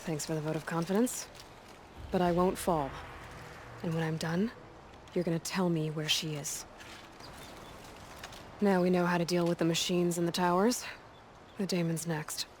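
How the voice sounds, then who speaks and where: An actress playing a determined young outlander huntress in a video game, with a dry, direct and self-assured delivery. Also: female voice, lowish voice, steady tone, normal speed, long pauses, a little hiss or hum, natural voice. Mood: dry, confident. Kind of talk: video game cutscene acting. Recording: loud ambient game sound effects.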